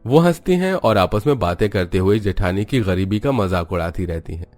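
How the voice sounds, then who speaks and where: A man's voice: fairly low pitch, lively intonation, normal speed, a little mellow, faint music behind. A man narrating a scripted cartoon family story as a storyteller.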